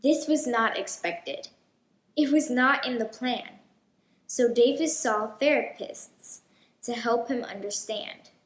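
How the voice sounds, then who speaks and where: A woman with a fairly high voice reads a picture book for children. She takes her time, speaking very slowly and with deliberate expression. The sound is roomy, with clear echo around her voice. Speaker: host of a children's read-aloud show. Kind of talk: children's storybook read-aloud.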